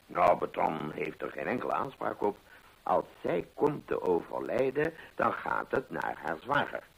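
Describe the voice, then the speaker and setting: An actor playing a genial pensioner and loyal companion in a radio play, chatty and a little hesitant, with a gravelly, husky voice. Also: male voice, low-pitched, very animated, a little slow, heavily acted, rough recording. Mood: chatty, informative. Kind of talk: Dutch radio play acting. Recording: old radio play recording.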